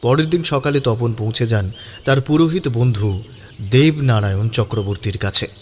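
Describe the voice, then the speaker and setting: A man's voice: medium pitch, moderate pace, slight background noise. A man narrating a scripted audio story.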